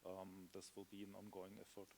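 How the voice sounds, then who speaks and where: Low-voiced man in a hissy hall. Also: completely flat delivery, fairly quick, a little mellow. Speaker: panelist. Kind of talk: conference panel answer.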